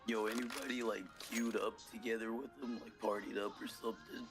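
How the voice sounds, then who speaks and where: Quiet low-voiced man on game chat. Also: steady tone, fairly quick, over music.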